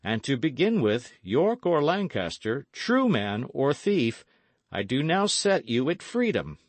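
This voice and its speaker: Man playing a stately, slow-spoken stern earl. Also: mid-range voice, very animated, no echo, pitched above the performer's own voice. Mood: resolute, grateful. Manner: formal, weighty, deliberate archaic speech. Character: stately, stern but fair-minded English earl.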